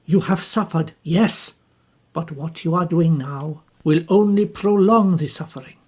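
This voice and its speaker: A woman reading the part of an older male detective, calm and formal, in a slow, deliberate husky voice. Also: on the low side. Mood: grave.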